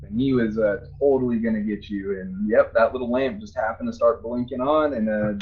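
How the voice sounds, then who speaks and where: A man with a fairly low voice answers questions as an interviewed game developer. His talk is informal and conversational. The audio is a bit dull, with faint noise underneath. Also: fairly even intonation, fairly quick. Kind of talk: casual chat interview.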